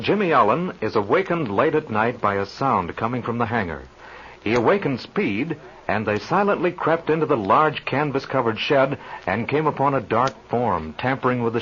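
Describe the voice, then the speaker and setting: Formal dramatic announcer, 1930s radio narration, lively pitch, muffled recording with faint noise. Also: male voice. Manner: formal, dramatic recap delivery.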